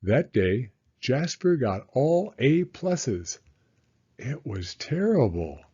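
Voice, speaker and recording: A man reading a children's story aloud in a theatrical, suspenseful way with drawn-out emphasis. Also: mid-range voice, sing-song, warm tone, slightly rough, muffled recording.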